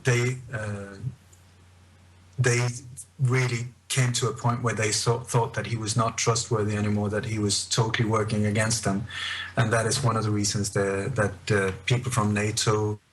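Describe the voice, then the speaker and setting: Low-pitched Scandinavian man, very even delivery. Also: poor audio. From born in Denmark, emigrated to Sweden at eight. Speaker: interview guest, researcher and author. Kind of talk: radio interview, recounting his experiences and research.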